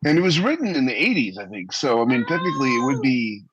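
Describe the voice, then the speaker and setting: A man's voice: mid-range voice, very animated, quick pace, noisy background. A man co-hosting a podcast, telling things in casual conversation on a slightly dull, close recording. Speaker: podcast co-host. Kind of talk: casual storytelling chat.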